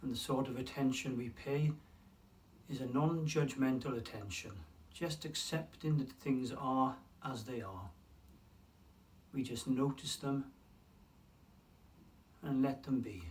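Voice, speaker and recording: A man leading a guided mindfulness meditation in a calm, unhurried way, leaving long pauses between phrases. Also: medium pitch. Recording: distant mic with faint room noise.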